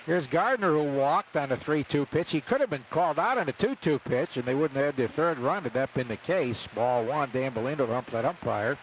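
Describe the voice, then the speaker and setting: Older American male play-by-play voice, fairly high, conversational storyteller, live booth with noisy stadium background. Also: animated, fairly quick, hardly any pauses, dull audio. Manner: conversational, storytelling between pitches. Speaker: baseball play-by-play announcer. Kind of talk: live radio sports commentary. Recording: live radio booth with loud stadium ambience.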